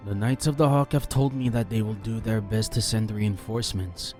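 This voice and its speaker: A man's voice: fairly low pitch, steady tone, darkish tone, soft background music, pitched above the performer's own voice, lightly acted. Man doing a grave ninja master. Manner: grave, measured. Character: grave small male ninja master messenger.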